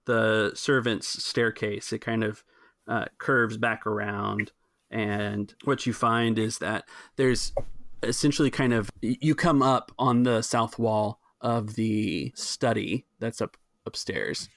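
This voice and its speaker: Creaky low-voiced man running a tabletop game. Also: slow pace, fairly bright tone. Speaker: game master. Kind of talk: improvised narration of a tabletop game.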